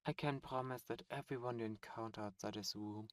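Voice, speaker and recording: A man voicing a seductive ancient demon prince, a fallen angel, speaking softly and slowly in an ASMR roleplay. He coaxes and tempts, close to the microphone. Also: mid-range voice, steady tone, close to an everyday voice. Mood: tempting. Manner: soft, slow, coaxing and tempting.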